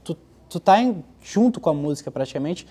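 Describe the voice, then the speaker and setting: Mellow man, casual talk. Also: on the high side, expressive, brisk, slightly rough. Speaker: musician. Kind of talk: casual interview.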